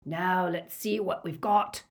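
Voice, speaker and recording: Woman acting a male werewolf villain, rasping growl, slow, menacing, gloating, audiobook. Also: lowish voice. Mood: gloating, predatory. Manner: menacing, snarling.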